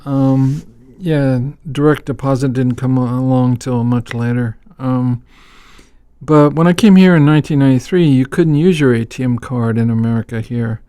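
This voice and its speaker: A man's voice: medium pitch, normal speed, warm tone, clear, resonant tone, dry recording, clean studio sound. A man from Texas hosting a casual interview podcast, who stops to explain words and phrases in a teacherly way. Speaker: podcast host.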